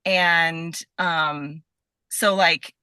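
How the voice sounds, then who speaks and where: Female podcast guest, chatty and keen, bright delivery, very dry sound, informal "like"-heavy talk. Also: on the low side, steady tone, very slow, clear, resonant tone, no echo. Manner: chatty, enthusiastic, heavy use of "like". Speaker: podcast guest, stage performer and voice actor. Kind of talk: casual interview chat.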